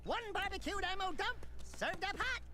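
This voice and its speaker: A man's voice: extremely high pitch, animated, normal speed. A man voicing a small furry wisecracking rodent sidekick in a cartoonish video game, brash and glib. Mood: cocky.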